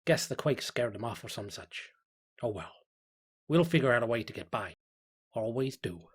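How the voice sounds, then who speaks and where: A man's voice: medium pitch, lively intonation, moderate pace, husky. A man voicing a grumpy, weary small-town fisherman, reading his sardonic diary with dry grumbling and swearing.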